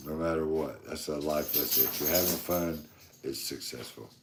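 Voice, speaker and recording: Deep-voiced joking podcast host man. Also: normal speed, echoey room, background noise. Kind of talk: casual chat and singing.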